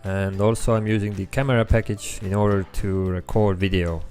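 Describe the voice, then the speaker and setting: A young man with an Estonian accent, his first language Estonian, walks through a coding tutorial in a relaxed way, with frequent ums as he thinks aloud. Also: lowish voice, fairly quick. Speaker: app developer tutorial host. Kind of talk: screen-recorded coding tutorial. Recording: faint background music.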